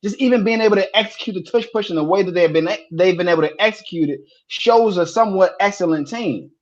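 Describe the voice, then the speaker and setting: A man co-hosting a sports podcast, talking casually and telling stories with animated repeats when excited. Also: high voice, fast talker, highs cut off.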